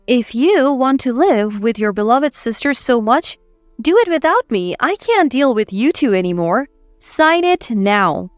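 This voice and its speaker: A woman voicing a wronged housewife in a story, composed and firm, quietly set on revenge. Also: a little high, sing-song, measured pace, no echo, studio quality, soft background music. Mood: resolute. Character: wronged housewife, calm, determined, quietly vengeful.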